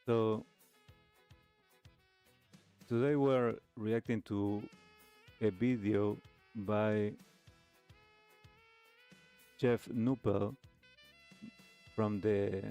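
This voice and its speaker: A man hosting a talk show, giving reflective commentary in measured, halting phrases with pauses between short clauses. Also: lowish voice, mellow, clean tone. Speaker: host of a live retro music talk show. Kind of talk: reflective commentary, reacting to a video. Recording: music playing behind the voice. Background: music with guitar.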